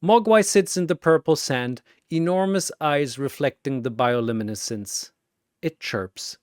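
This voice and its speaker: A man's voice: on the high side, measured pace, a little mellow, clear, resonant tone, no echo, studio quality. A man narrating a scripted battle story in a dramatic style.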